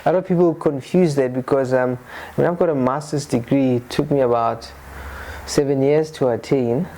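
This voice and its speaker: A man's voice: medium pitch, mellow. A man hosting an interview podcast as a psychotherapist, talking casually with many restarts and fillers. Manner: halting, repeats words, frequent fillers and restarts.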